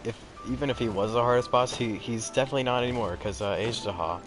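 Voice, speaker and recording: A man's voice: mid-range voice, fast. A young man giving casual gameplay commentary, rambling and hesitant and often repeating himself, with game music behind him. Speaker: gaming video creator.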